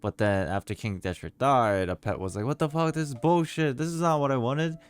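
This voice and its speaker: A man's voice: medium pitch, fairly quick, smooth. A man giving casual playthrough commentary, musing aloud informally as a game streamer.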